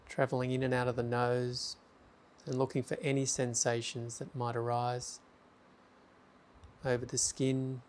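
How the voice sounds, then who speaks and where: A man guiding a meditation in a calm, gentle way. His voice is steady and even, with little rise and fall. He speaks unhurried instructions and leaves long silences between them. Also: mid-range voice. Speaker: meditation guide. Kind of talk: guided meditation.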